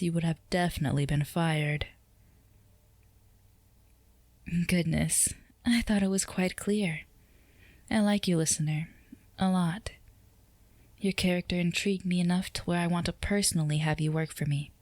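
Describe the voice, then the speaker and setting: A woman playing a flirtatious, self-assured female boss in an intimate roleplay ASMR drama, speaking in a soft murmur that slips into whispers, teasing and commanding. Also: fairly low pitch, steady tone, normal speed, dry recording, lightly acted. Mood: sly, teasing, then coaxing, flirtatious.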